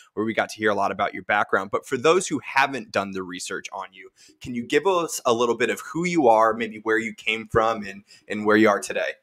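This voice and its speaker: A man's voice: lowish voice, fairly bright tone. A man hosting a casual podcast, giving upbeat, hyped introductions on a video call. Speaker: podcast host.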